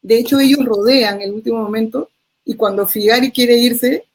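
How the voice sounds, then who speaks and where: A woman's voice: on the high side, normal speed, poor audio. A woman, a journalist guest recounting a reporting experience in conversational storytelling. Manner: animated, laughing as she tells the story.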